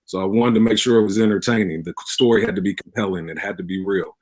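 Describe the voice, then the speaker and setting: A man giving conversational interview answers, speaking haltingly and repeating words as he thinks. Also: on the low side, darkish tone, dry recording. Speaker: pastor and radio drama producer, interview guest.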